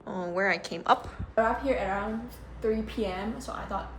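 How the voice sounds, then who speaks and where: A young woman vlogging casually as she tours a budget hotel, chatty and excitable, full of "like"s and giggles, filmed on a handheld phone. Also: medium pitch, moderate pace. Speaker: travel vlogger. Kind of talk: casual travel vlog. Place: budget hotel room and entrance. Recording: echoey room with loud background noise.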